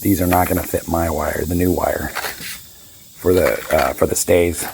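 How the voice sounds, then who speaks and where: A man in his fifties with a raspy, hoarse voice, chatting casually and rambling through a boat repair vlog. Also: low-pitched, little pitch movement, measured pace, background noise. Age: 50s. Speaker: boat owner vlogger.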